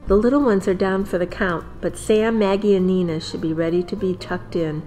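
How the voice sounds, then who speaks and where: A young mother voiced by a woman in a family radio play, with a medium-pitched voice. She is affectionate, practical and gently firm, over faint music. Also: fairly even intonation, brisk, lightly acted, rough recording. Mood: cheerful. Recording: music behind the voice.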